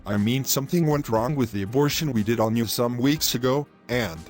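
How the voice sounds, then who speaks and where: A man's voice: medium pitch, a little fast, soft background music, close to an everyday voice. Man acting a grave, apologetic doctor. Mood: grave, hesitant. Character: grave male doctor breaking bad news. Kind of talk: scripted moral-story drama.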